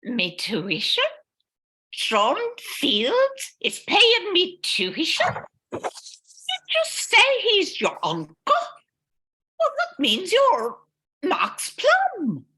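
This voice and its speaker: A woman's voice: very high-pitched, huge pitch swings, drawn-out words, pauses often, sharp tone, full character voice, poor audio. A woman playing a spirited, pleading young kidnap victim in an amateur old-time radio drama. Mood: astonished.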